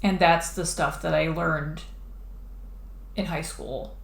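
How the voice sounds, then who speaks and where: Low-voiced woman chatting while drawing. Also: steady tone, slow, vocal fry. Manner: rambling, lots of "like" and restarts. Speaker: streamer drawing a comic. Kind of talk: casual chat while drawing. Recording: echoey room, faint background noise.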